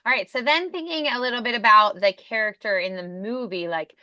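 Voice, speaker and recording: A woman coaches voice acting in a casual, encouraging way. Her voice is bright and a little high. The sound is dry, with little room around it. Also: brisk, no echo.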